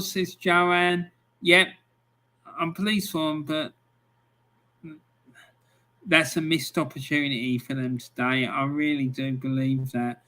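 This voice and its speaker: A middle-aged man hosting a football live stream, chatting casually, reading out scores and viewer comments with plenty of ums. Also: a little high. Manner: relaxed and chatty, with many ums.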